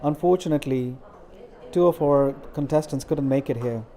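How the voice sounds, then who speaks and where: Earnest middle-aged man, mellow voice. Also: fairly high pitch. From India. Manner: earnest, measured with frequent fillers. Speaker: interviewee, community organizer. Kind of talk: interview answers.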